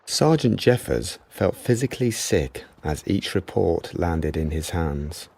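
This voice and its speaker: Male storyteller for radio drama narration, deep register with plenty of vocal fry. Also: animated, measured pace, darkish tone.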